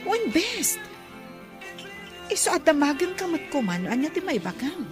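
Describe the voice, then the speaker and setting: A woman's voice: high-pitched, big pitch swings, moderate pace. A woman playing a worried resort-owning madam in an Ilocano radio drama, speaking earnestly and with animation. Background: music under the voice.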